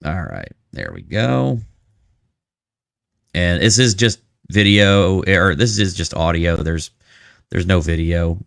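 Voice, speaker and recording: A man's voice: low voice, very animated, slow pace, vocal fry, long pauses, fairly bright tone, no echo, clean studio sound. A man hosting a live stream with casual, joking commentary and asides to his chat.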